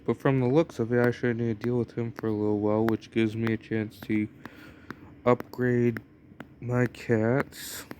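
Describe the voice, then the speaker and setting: A man's voice: medium pitch, flat delivery, normal speed, a little mellow, slight background noise. A young American man casually commenting on a mobile game he is playing, rambling and hesitant with lots of fillers. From United States. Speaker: gameplay commentator.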